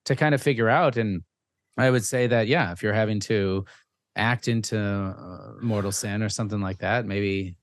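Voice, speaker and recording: A man with a lowish voice, recorded close and dry, speaks as a podcast host. His talk is reflective and casual, with hesitant pauses as he searches for the right words. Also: no room sound.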